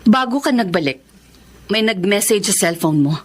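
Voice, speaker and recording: An actress playing a betrayed middle-aged Filipino wife in a radio drama, emphatic and emotional. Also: female voice, mid-range voice, expressive, normal speed, lightly acted. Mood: stern, accusing. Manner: emphatic, emotional, pressing with repeated questions. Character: betrayed middle-aged wife and bakery owner, wounded, accusing.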